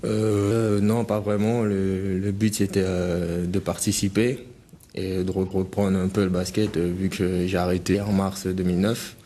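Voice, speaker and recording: Hesitant young man, low dark voice. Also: level tone, slight background noise. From French Guiana. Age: 20s. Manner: halting, many pauses and fillers. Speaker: basketball player guest. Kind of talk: hesitant interview answers.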